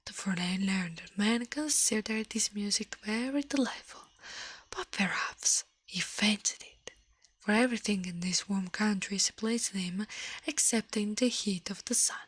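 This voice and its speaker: A woman reading a story aloud in a gentle, breathy ASMR whisper, halting now and then, close to the microphone. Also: mid-range voice, no room sound. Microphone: close microphone, dry sound.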